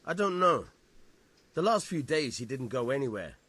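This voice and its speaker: Gruff, husky village bailiff. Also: male voice, mid-range voice, sing-song, normal speed, fairly bright tone. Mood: blunt. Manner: blunt, grudging, colloquial. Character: gruff, weary village bailiff, dismissive and blunt. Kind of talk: video game cutscene acting.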